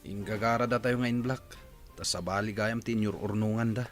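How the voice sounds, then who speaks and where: A man's voice: on the low side, fairly even intonation, fairly quick, faint music behind, natural voice. A man playing a concerned grown son in an Ilocano radio drama, talking plainly with a Filipino accent.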